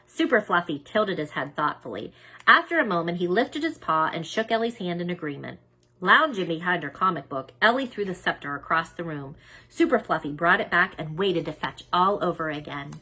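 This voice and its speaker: A woman reading a children's story aloud as narrator, expressive and emphatic. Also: lowish voice, normal speed, breathy edge. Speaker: children's book reader.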